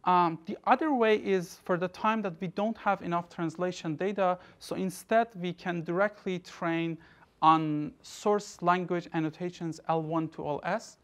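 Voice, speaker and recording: A man's voice: high voice, a little slow. Young man lecturing. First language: Persian. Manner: loose phrasing with restarts and fillers. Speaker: researcher giving a talk. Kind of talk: academic lecture. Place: lecture room, indoors.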